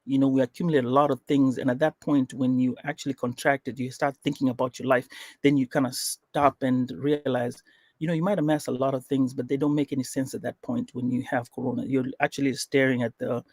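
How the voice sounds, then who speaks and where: A man from Kenya, with Swahili as his first language, chats as a podcast guest in a loose, rambling way. He keeps stopping to reach for words and fills the gaps with "uh" and "um". His voice is mid-pitched and sits close and dry on the mic. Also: level tone, a little fast, a little mellow, dry recording. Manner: rambling, with frequent fillers and restarts. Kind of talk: casual interview chat.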